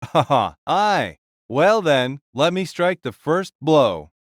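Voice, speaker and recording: A hearty, self-assured warrior king for an audio drama. His delivery is grand and booming and swings widely in pitch, recorded close and dry. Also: big pitch swings, slow, no echo. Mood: jovial, eager. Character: boisterous, self-assured warrior king spirit, a big man. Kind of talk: dramatised audio fiction acting.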